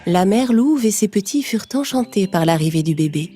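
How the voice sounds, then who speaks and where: A woman narrating a scripted children's story in a measured storytelling manner. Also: medium pitch, lively intonation, mellow, soft background music.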